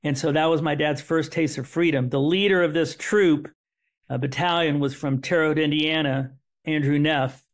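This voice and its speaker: A middle-aged man, whose was Hebrew, telling a family story as an interview guest in an earnest way that repeats words and restarts. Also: fairly high pitch. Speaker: interview guest, son of survivors. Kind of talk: conversational storytelling.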